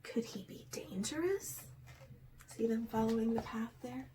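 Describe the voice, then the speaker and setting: A woman, a teacher, reading a children's storybook aloud in an expressive way. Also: on the high side, measured pace, a little hiss or hum.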